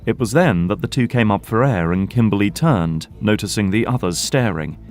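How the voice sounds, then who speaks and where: A man's voice: lowish voice, lively intonation, moderate pace. A man narrating an audio drama in scripted, present-tense storytelling style. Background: background music.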